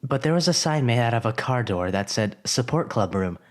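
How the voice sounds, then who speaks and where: Man, story narrator, expressive, lively intonation, scripted reading. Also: medium pitch, brisk.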